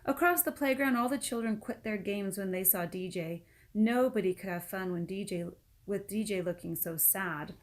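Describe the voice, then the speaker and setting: A woman reading a children's book aloud as an expressive storyteller. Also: mid-range voice, normal speed. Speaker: storybook reader.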